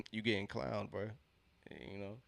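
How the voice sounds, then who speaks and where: A man's voice: on the low side, little pitch movement, a little slow, creaky, a bit bright. A young man with a congested, nasal voice, casually reacting to a basketball game on a live watch-along stream, slangy and repeating himself.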